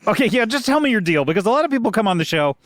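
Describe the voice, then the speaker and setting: A middle-aged man hosting a comedy podcast, with a high voice that swoops up and down a great deal. He is teasing and keeps stumbling over uh's, recorded close and dry in a studio. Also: big pitch swings, a bit bright, no room sound. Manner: halting, full of uh's, teasing. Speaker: host. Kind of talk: improvised comedy podcast chat.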